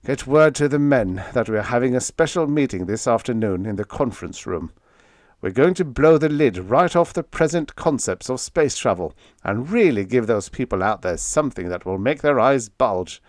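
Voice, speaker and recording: A man's voice: mid-range voice, animated, normal speed, no echo, studio quality, lightly acted. A man voicing a brilliant, commanding male chief spaceship designer in an audiobook, speaking with emphatic authority. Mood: confident, rousing. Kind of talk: audiobook character voice.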